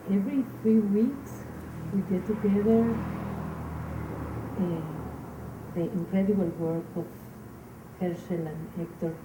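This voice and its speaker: A Spanish-speaking woman with a Mexican accent, a poet addressing a live gathering in halting speech with many fillers and restarts. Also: fairly low pitch. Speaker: invited poet. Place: community hall. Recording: echoey hall, noisy room.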